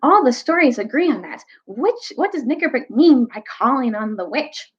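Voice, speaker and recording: A woman's voice: high voice, animated, normal speed, dull audio, voice pushed up. A woman voicing an anxious, learned male doctor in a storybook reading, urgent and protesting. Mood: alarmed.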